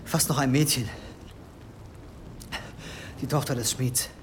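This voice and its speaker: A man playing a timid, shaken young monk, halting and deferential, in a German audio drama. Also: medium pitch, fairly even intonation, a little hiss or hum, close to an everyday voice. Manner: halting, deferential, repeats words.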